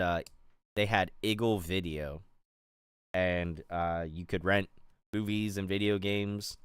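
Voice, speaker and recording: Deep smooth-voiced chatty man. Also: fairly bright tone, dry recording, studio quality. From Pittsburgh area, USA. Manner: rambling, chatty, many fillers and restarts. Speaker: streamer. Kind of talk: casual chat while building a toy set.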